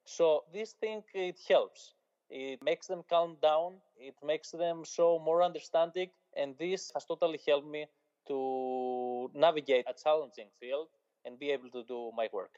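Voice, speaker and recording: Greek-accented man, measured phrasing. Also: fairly high pitch, darkish tone, clear, resonant tone. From Greece. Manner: measured, speaks in short phrases with pauses. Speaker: journalist guest. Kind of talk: interview answers, eyewitness account. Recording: slightly dull lo-fi audio.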